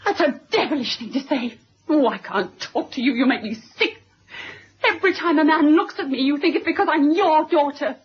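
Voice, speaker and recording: An actress playing a defiant, wounded young daughter in a radio drama, speaking emphatically. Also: female voice, high-pitched, animated, normal speed, slight background noise. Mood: angry. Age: young adult. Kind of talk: 1970s radio drama acting. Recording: muffled old radio recording.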